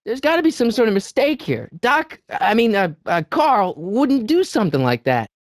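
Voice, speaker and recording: An earnest American teenage boy from an adventure video game. His voice is high and youthful, rising and falling with animated urgency. He pleads and persuades eagerly, with a nervous, stammering edge, recorded dry and clean. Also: no echo. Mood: nervous, hesitant. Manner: hesitant, stammering, eager, fast-talking persuader. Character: earnest, anxious teenage boy hero of a time-travel adventure. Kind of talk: video game character dialogue.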